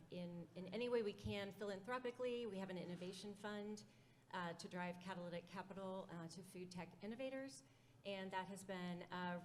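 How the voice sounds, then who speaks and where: A woman speaks as a conference panelist, measured and steady, with frequent fillers. A faint background noise sits under her voice. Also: mid-range voice. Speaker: panelist, foundation president.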